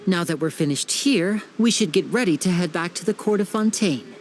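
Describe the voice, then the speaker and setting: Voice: mid-range voice, moderate pace, slight background noise, natural voice. A voice actor playing a polite, showy young stage magician in a game cutscene dub. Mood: gentle. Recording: game score underneath.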